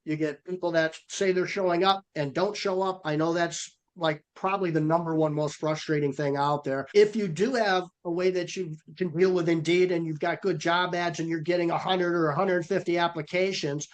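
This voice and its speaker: A middle-aged man presenting a webinar on a video call, a bit hoarse from a cold, with a folksy, energetic sales-coach delivery. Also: fairly high pitch, normal speed, few pauses. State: losing his voice from a cold.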